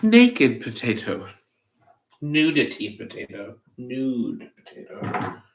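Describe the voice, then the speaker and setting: A man's voice: mid-range voice, sing-song, slow pace, a little hiss or hum. A man hosting a cooking livestream, rambling casually with playful, silly asides. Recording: echoey room.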